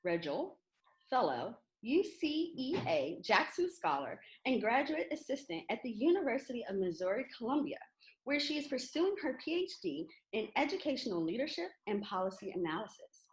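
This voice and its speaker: A woman's voice: a little high, big pitch swings, moderate pace, low-quality recording. A woman hosting a children's reading event, reading out introductions and putting questions to a guest. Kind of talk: scripted introduction and interview.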